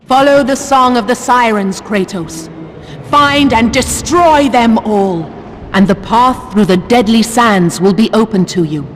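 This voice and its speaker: A woman voicing a solemn divine guide in a video game, commanding, formal and deliberate. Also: on the high side, slight background noise, lightly acted. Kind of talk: video game cutscene voice acting.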